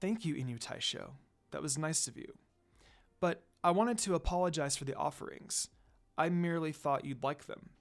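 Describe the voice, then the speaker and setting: Man voicing a gentle, nervous young princess. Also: on the high side, normal speed, natural voice. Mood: earnest. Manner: nervous, apologetic, soft-spoken.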